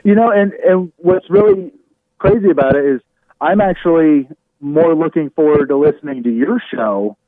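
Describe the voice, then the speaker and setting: A man's voice: fairly high pitch, sing-song, normal speed, creaky, dry recording. A man who hosts online radio, chatting casually as an interview guest over a remote call. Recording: muffled narrow-band remote call sound.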